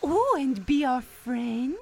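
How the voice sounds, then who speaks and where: Very high, excitable childlike character. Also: female voice, takes it slowly, gravelly, slight background noise, exaggerated voice. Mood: eager. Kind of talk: children's audio theater acting.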